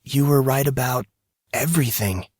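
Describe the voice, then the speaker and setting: An anguished teenage boy played by a man, pitched above the narrator's low voice. He is haunted and full of self-doubt, speaking haltingly and with feeling in a dry audio drama recording. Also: dry recording, lightly acted.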